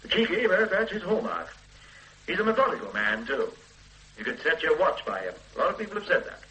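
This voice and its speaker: An actor playing a measured, dryly authoritative police detective in a radio drama. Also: male voice, mid-range voice, sharp tone, low-quality recording. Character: methodical middle-aged police detective superintendent. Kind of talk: 1970s radio drama acting.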